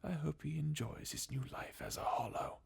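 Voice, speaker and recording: A performer voicing a jaded, sardonic undead warrior from a fantasy video game, in a breathy, husky half-whisper with a weary, mocking manner. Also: mid-range voice, moderate pace, full character voice. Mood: sardonic, resigned. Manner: sardonic, weary, chuckling bleakly. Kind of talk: fan redub of video game dialogue, ASMR-like.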